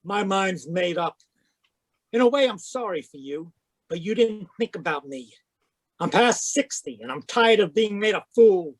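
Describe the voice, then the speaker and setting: A man's voice: sits high, lively intonation, a little slow, no echo, full character voice, rough recording. An actor playing a dignified, indignant man past sixty in an old-time radio crime drama, with stiff, formal diction. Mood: stern, indignant. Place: performed from home on a video call.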